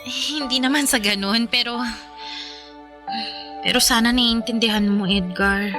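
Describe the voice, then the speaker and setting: A woman's voice: a little high, measured pace, over music, lightly acted. A woman playing an ambitious young live-in girlfriend, sassy and colloquial, in a naturalistic radio drama. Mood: gentle, apologetic. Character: ambitious, career-driven young live-in girlfriend, teasing and defensive. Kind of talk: Filipino radio drama acting, naturalistic dialogue.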